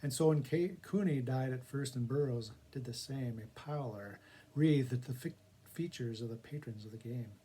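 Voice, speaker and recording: A man's voice: mid-range voice, moderate pace, mellow, husky. A man reading aloud for a library, moving between casual introduction and dramatic, emphatic recitation of verse. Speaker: poetry reader for a public library reading project.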